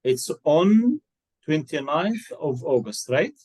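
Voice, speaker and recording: A man's voice: on the high side, very animated, slow, a little mellow, slightly rough. Patient man teaching English. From Egypt. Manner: patient, coaxing, repeats and corrects answers. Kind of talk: one-to-one online English lesson.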